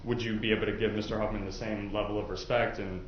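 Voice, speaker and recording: Low-voiced man in an echoey room. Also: little pitch movement, fast. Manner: polite, conversational, hesitant phrasing. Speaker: defence lawyer questioning jurors. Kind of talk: informal courtroom questioning. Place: indoor courtroom. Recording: echoey, dull courtroom audio, faint noise.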